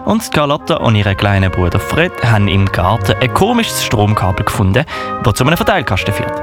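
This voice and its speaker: A man's voice: on the low side, very animated, fairly quick. A man recapping a story as a storyteller on a radio show. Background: clear music.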